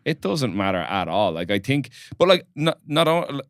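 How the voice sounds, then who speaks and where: A man's voice: mid-range voice, big pitch swings, normal speed, a bit bright. A young man hosting a casual podcast interview, blunt and swearing freely as he talks. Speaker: podcast host.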